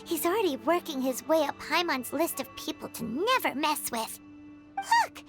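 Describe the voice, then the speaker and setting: Casting: tiny game fairy, extremely high childlike voice, wildly animated, cheeky and chatty. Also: female voice, normal speed. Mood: chatty, excited. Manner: refers to self in third person, exclamatory. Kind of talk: video game character acting. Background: music.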